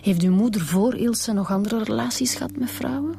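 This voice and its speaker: A woman with a Flemish accent playing a calm, matter-of-fact police detective in a radio drama, informal and reassuring. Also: fairly high pitch, a little fast, creaky, noisy background. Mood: reassuring, probing.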